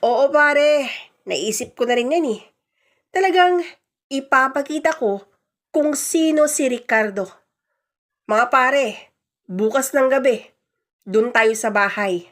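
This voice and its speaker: A woman's voice: sits high, lively intonation, takes it slowly, pauses often, fairly bright tone, full character voice. A woman voicing a cocky married man in a radio-style drama, talking casually and boastfully with his buddies.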